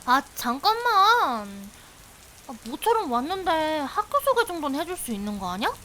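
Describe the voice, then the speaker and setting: Actress as stubborn young lady sleuth for anime-like drama. High, swooping voice, pouty and wheedling, light rain behind. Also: moderate pace, heavily acted. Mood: wheedling, indignant. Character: pushy, persistent young woman investigator, playful and sulky. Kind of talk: visual novel voice acting, anime-like drama. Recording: hiss with steady rain behind.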